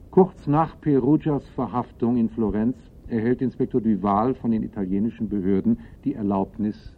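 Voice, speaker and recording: A man's voice: medium pitch, lightly acted. A man narrating a criminal case in a radio play, in a sober, formal, factual manner. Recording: muffled, narrow-band old radio recording.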